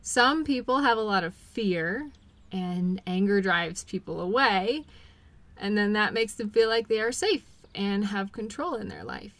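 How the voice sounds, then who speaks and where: A woman playing a caring, patient girlfriend in an intimate role-play audio, speaking gently and reassuringly to comfort her partner. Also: mid-range voice, unhurried, no room sound. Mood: calm, thoughtful.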